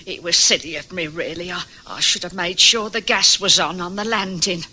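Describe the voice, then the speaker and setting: Radio drama role: frail elderly wife, plaintive and halting, very bright but rough-edged tone. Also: female voice, lowish voice, low-quality recording. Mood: weary resignation. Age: older. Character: frail, weary, long-suffering ailing elderly wife. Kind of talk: old-time radio drama acting.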